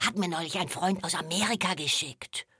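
A scatterbrained, cheeky creature for a children's podcast. The voice is very husky and sharp-edged, recorded dry and close. It stammers and giggles in an excitable, hectic way, at a fairly unhurried overall pace. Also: female voice, fairly low pitch, no room sound, heavily acted. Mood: cheeky, excited. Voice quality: raspy. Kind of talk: children's podcast comic skit.

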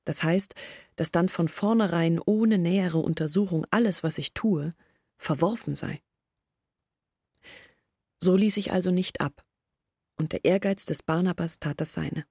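An actress playing an earnest woman who confesses her family's failures in a reflective, measured monologue from a literary radio play. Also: fairly low pitch, darkish tone, husky, dry recording, clean studio sound. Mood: confessional, resolute.

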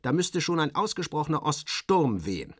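A man's voice: fairly high pitch, sing-song, moderate pace, breathy edge, dry recording, pitched above the performer's own voice. Seasoned major, voiced by a male narrator, muttering and sure of himself, colloquial, radio drama, close dry sound. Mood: confident. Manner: murmuring, colloquial, matter-of-fact. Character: military major, confident, muttering, grumbling balloon pilot.

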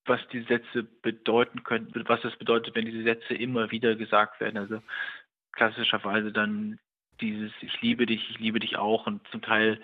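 A man being interviewed as a writer, reflecting on his own work in a tentative, searching way and often correcting himself. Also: medium pitch. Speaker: writer and radio play director being interviewed. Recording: tinny phone-like line.

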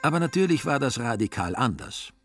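Man narrating with lively, ironic delivery. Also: mid-range voice, a little fast, soft background music. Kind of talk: ironic literary narration, scripted.

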